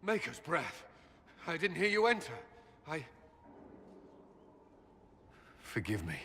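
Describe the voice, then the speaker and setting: An actor playing an earnest, troubled military commander in a game, speaking with formal, halting intensity. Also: male voice, on the high side, wildly swooping pitch, bright, forceful tone, heavily acted. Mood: ashamed. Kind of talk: dramatic game voice acting. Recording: long reverb, noticeable noise behind.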